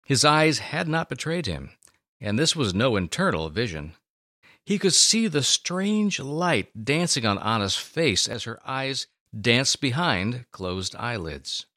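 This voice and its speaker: A man narrating a scripted dramatic story with deliberate phrasing and dramatic pauses. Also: mid-range voice, sing-song, sharp tone, no echo, clean studio sound.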